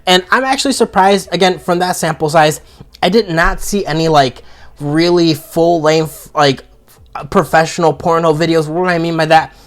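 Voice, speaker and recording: A man's voice: on the high side, normal speed. A man with a froggy, sore-throated voice from being sick, giving a blunt, profane comedic review in a casual monologue. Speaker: video reviewer.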